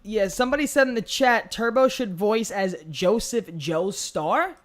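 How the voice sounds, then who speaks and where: A man's voice: sits high, measured pace, a little hiss or hum. Brash New York man hosting a podcast. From New York, United States. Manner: brash, excitable, swears freely. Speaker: podcast host. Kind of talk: casual podcast chat.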